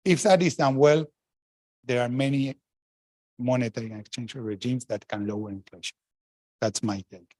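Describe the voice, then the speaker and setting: A middle-aged man from Argentina, speaking as a former central banker on a discussion panel. He talks frankly and emphatically, breaks off to restart his sentences, and throws in asides. His voice sits at a medium pitch, and the recording is dry and close. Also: normal speed, long pauses, a little mellow, no echo. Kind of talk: panel talk answering questions.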